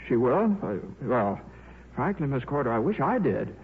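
A man's voice: medium pitch, big pitch swings, normal speed, faint music behind. An actor playing a mild, awkward, earnest male scientist in a 1950s radio drama. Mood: meek, worried. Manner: hesitant, earnest. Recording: tinny, noisy 1950s radio broadcast.

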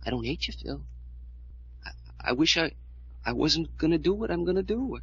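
An actor playing an earnest, resentful American younger brother, plain-spoken and halting, in 1940s radio style. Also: male voice, fairly high pitch, lively intonation, breathy edge, heavily acted. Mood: sorrowful, resolved. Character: wounded, resentful, earnest younger brother, small-time tough. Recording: dull vintage radio recording.

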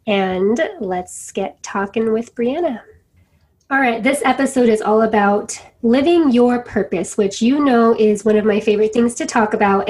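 A woman's voice: mid-range voice, darkish tone. A woman hosting a podcast, talking in an enthusiastic, encouraging way as she introduces her guest. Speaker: podcast host. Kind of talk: upbeat podcast interview.

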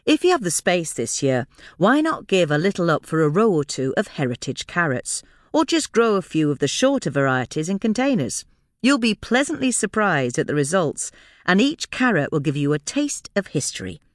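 Woman narrating, lively intonation. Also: fairly low pitch, moderate pace, dry recording, studio quality. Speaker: podcast narrator reading a gardening article. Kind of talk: scripted narration.

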